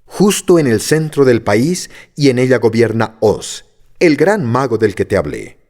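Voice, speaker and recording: Male narrator doing a sweet old witch, gentle and lilting. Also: medium pitch, sing-song, unhurried. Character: kindly little old witch woman.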